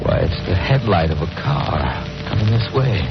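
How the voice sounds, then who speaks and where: An actor playing a steady, practical friend who takes charge firmly and urgently in a 1940s radio drama. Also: male voice, deep voice, moderate pace, vocal fry, over loud music. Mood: grave, urgent. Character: steady, take-charge male friend in a thriller. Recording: muffled old radio recording.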